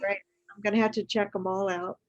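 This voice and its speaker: An older woman, a retired teacher, talking casually and haltingly, pausing often between short phrases. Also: medium pitch, flat delivery, muffled recording. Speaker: retired high school teacher, show participant. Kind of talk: casual chat.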